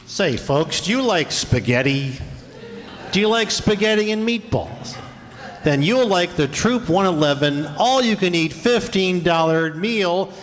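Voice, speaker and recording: A man's voice: high-pitched, a bit bright. A man giving a folksy live promotional announcement as the show's announcer. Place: live stage show in a hall. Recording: echoey hall, long reverb.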